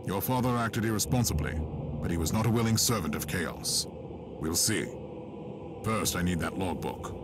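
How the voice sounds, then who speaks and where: Deep, stern inquisitor. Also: male voice, lively intonation, normal speed, noisy background. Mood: businesslike. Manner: commanding, terse, solemn. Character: stern, zealous male inquisitor warrior. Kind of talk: video game voice acting.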